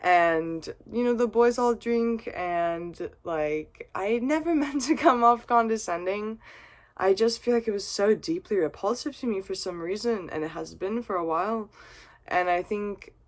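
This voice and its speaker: A woman's voice: medium pitch, a little slow. Rambling woman musing after a drink. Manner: rambling, earnest, swears and laughs often. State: has been drinking vodka. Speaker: vlogger. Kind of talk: casual reflective monologue.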